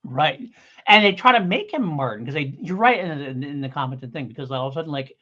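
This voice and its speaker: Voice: medium pitch, expressive, fast, pushed and bright. A podcast co-host in a casual review discussion, talking in a hedging, rambling way and thinking aloud. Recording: dull lo-fi audio.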